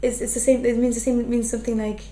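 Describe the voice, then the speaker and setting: A woman's voice: on the high side, flat delivery, rapid-fire, mellow. A young woman from Flanders with a Flemish accent, talking thoughtfully in an interview and often restarting her sentences. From Flanders, Belgium. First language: Dutch. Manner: thoughtful, full of fillers and restarts. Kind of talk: casual interview. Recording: echoey room with faint hum.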